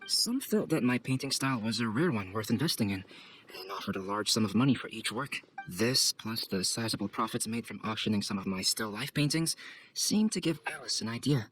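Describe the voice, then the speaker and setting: A man voicing a calm, reserved young artist and scholar in a video game, speaking in a measured, formal, composed way. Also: fairly low pitch, a little hiss or hum, poor audio. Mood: composed, reflective. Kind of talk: game cutscene voice acting.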